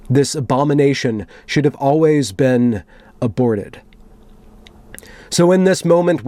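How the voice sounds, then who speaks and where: A man with a mid-pitched voice in a very dry recording space reads text aloud for a commentary video. His delivery is deliberate and theatrical, loosened by offhand, wry remarks in a conversational tone. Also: darkish tone, no echo. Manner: deliberate, dramatic read-aloud broken by wry, conversational asides. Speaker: commentary vlogger. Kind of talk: reading a written post aloud with offhand commentary.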